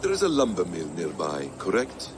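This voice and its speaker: Low, sly, menacing assassin. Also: male voice, wildly swooping pitch, background noise. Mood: cool, sly. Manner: deliberate, smooth, guarded. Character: cool, sly, menacing male assassin in his prime. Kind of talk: video game cutscene acting.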